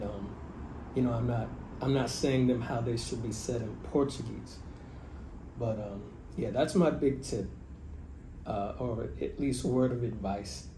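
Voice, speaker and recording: A man's voice: mid-range voice, reverberant, background noise. An American man vlogging casually, rambling with many restarts, about learning languages abroad. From United States. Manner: rambling, frequent restarts and fillers. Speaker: travel vlogger. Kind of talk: casual talking-head vlog.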